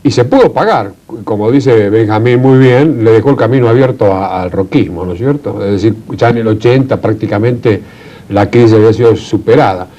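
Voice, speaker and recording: Man, Argentine accent, medium pitch, warm dark tone, explanatory guest, check-in tags, muffled recording. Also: brisk. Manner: didactic, with frequent check-in tags. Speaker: panel guest explaining history. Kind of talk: conversational analysis. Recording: dull, lo-fi sound.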